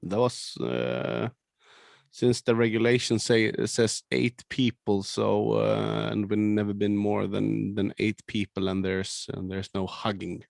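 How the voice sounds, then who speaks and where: A low, gravelly man's voice with a Swedish accent, talking slowly and haltingly. He answers an interview question with lots of ums and restarts, and the sound is dry and close. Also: fairly even intonation, a little mellow, no room sound. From Sweden. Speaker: band founder and singer. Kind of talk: casual interview over a call.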